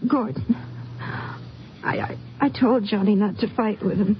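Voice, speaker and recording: An actress playing a shaken, grieving young small-town girl in a 1950s radio drama, halting and fragile, often stammering. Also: medium pitch, natural voice. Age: young adult. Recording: muffled, noisy 1950s radio recording.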